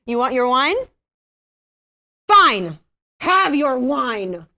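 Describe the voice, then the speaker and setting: A woman's voice: high voice, very animated, extremely slow, vocal fry, bright, forceful tone, exaggerated voice. A woman playing an angry, fed-up partner scolding her man, dramatic and confrontational, at a comedy table read. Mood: furious.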